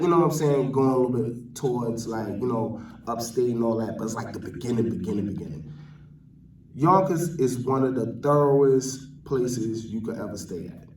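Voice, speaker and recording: New york guy doing casual slangy street commentary, far from the mic in an echoey spot. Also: mid-range voice. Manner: slangy, repeats "you know what I'm saying". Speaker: vlogger host. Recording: roomy, distant mic, noisy background.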